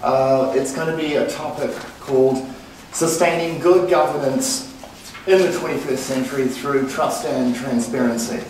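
A man's voice: medium pitch, steady tone, moderate pace, darkish tone, a little hiss or hum. A middle-aged man with a New Zealand accent introduces a speaker at a conference podium, talking earnestly with many "uh" fillers. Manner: frequent "uh" fillers, earnest and plain. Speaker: conference session chair. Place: conference room, indoors. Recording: very echoey room, picked up from a distance.

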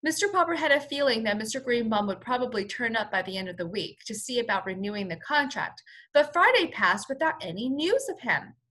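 A woman's voice: fairly high pitch, lively intonation, a little fast, pushed and bright. A woman teacher reading a children's story aloud expressively, stopping to explain words in simple terms. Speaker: first-grade teacher.

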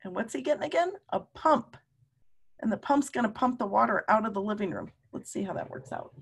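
A woman in her fifties reading a story aloud to young children, with animated, drawn-out emphasis and questions to her listeners. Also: mid-range voice, vocal fry, slightly rough. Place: room at home.

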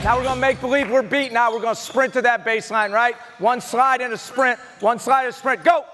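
A male basketball coach calling out loud, terse, commanding drill instructions to his team at practice. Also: extremely high pitch, fairly even intonation, a little fast, over music. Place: large indoor gymnasium, practice before onlookers. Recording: noisy gym with bouncing balls. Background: bouncing basketballs and gym noise.

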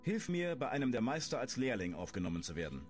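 A man's voice: medium pitch, very animated, fast talker, a little mellow, lightly acted. A man playing a blunt, inquisitive adventurer in a fantasy video game. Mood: inquiring. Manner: direct, questioning. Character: blunt, inquisitive male adventurer newly in town. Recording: music behind the voice.

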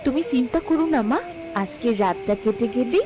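Woman as high-voiced, earnest young village daughter. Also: expressive, fairly quick, over music, close to an everyday voice. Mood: earnest resolve. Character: poor, determined young village daughter, protective and proud. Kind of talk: Bengali cartoon fairy-tale acting.

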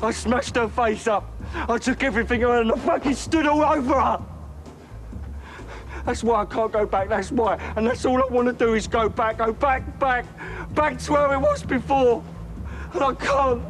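A man's voice: very high voice, fairly even intonation, moderate pace. An actor playing a volatile young man, swearing and defensive, who breaks down confessing what he did to his mother. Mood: anguished. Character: guilt-ridden young British man, volatile temper. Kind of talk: film drama acting.

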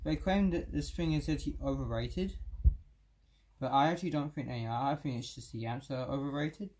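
A man's voice: on the high side, fairly quick, darkish tone. A man hosting a casual hands-on demo, thinking aloud in short, unscripted fragments. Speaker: video host testing speakers. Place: indoor room, seated on floor by speakers. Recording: clear background noise, clicks.

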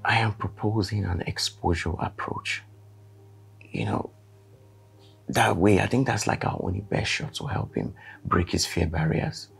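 An actor playing a calm therapist who explains a treatment plan. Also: male voice, low voice, creaky, breathy edge, faint music behind, full character voice. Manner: measured, explanatory.

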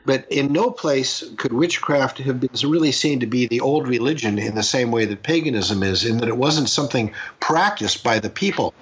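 A man's voice: medium pitch, moderate pace, few pauses, a bit bright, lo-fi sound, a little hiss or hum. An older man from the United States answering interview questions in a reflective, rambling way, often restarting his sentences. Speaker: guest.

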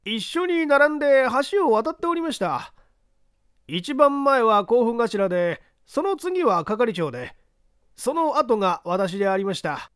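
A man acting a deferential male witness in a mystery story. His voice is very high and swings widely in pitch. He testifies formally and earnestly. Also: big pitch swings, unhurried, fairly bright tone, clear, resonant tone, dry recording, clean studio sound, full character voice. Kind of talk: dramatised mystery story reading.

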